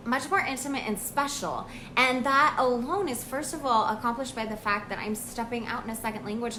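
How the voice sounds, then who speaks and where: An American woman, a language coach, talking about her own experience in a casual, earnest vlog monologue with frequent pauses and ums. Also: mid-range voice, background noise. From United States. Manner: earnest, reflective, with pauses and fillers.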